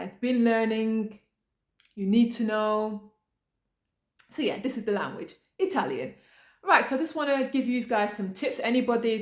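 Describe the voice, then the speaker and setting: A woman's voice: mid-range voice, roomy sound. A woman from the UK giving casual advice to camera in a chatty manner, with frequent fillers and restarts. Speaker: vlogger.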